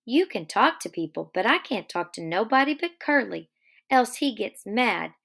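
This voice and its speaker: Woman reading as a high-pitched lonely young farm wife. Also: lively intonation, moderate pace, creaky, a bit bright, slightly rough, exaggerated voice. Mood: lonely, confiding. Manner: folksy uneducated rural speech. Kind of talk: dramatic reading of a novel.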